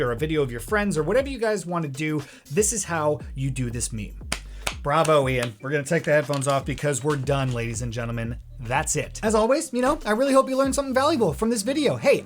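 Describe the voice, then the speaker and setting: A man hosting a software tutorial in an informal, joking way, talking casually to his viewers. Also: a little high. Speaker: host of a video editing tutorial series.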